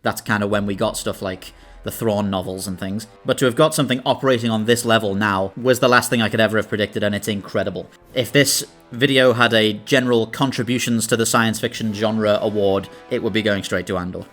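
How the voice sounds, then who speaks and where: A man's voice: fairly low pitch, fairly even intonation, a little fast, a bit bright. A British man hosting a video, delivering scripted essay-style narration. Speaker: video host. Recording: music under the voice. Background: background music.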